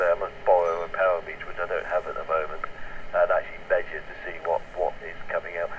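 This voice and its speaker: A very noisy, muffled radio transmission carries a low-pitched man's voice, sounding distant. He chats casually as a ham operator, rambling along hesitantly and doubling back on his words. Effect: two-way radio.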